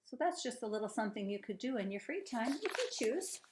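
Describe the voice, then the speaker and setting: A woman's voice: fairly high pitch, very animated, fairly quick. A woman teacher reading a children's storybook aloud, encouraging and putting questions to her young listeners. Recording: echoey room.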